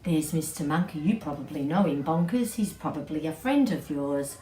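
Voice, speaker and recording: A middle-aged woman, an early childhood educator, telling a story to young children in an animated storytelling style. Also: deep voice, moderate pace. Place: small indoor playroom with bookshelves, seated on floor. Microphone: camera several feet away. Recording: distant mic, roomy echo, background noise.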